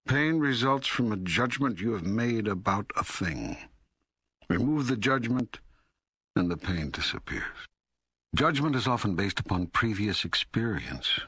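A man voicing God as a calm, authoritative teacher in an audiobook, raspy, deliberate and solemn. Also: on the low side, no room sound, studio quality, heavily acted. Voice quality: husky, raspy. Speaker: audiobook narrator. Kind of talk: scripted audiobook dialogue reading.

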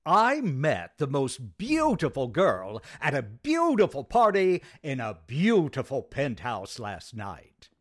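An actor playing a suave, excitable man about town in a radio sitcom, theatrical and emphatic. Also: mid-range voice, takes it slowly, few pauses, dry recording, clean studio sound, heavily acted. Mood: wry, lovelorn.

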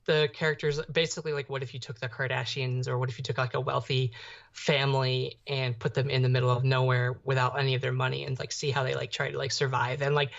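A man's voice: medium pitch, level tone, quick pace, vocal fry, fairly bright tone. A young adult man co-hosting a podcast in a casual, rambling way. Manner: rambling, peppered with "like". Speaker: podcast co-host. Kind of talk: casual chat. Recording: slightly dull, muffled audio.

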